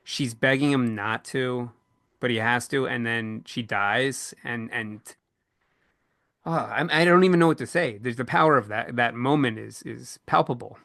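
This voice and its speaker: A man with a bright, forward voice hosts a panel conversation in a very dry sound. He is earnest and thoughtful, circling his points haltingly as he looks for words. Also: medium pitch, creaky, no room sound. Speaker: podcast co-host and panel moderator. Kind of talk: reflective panel discussion about books.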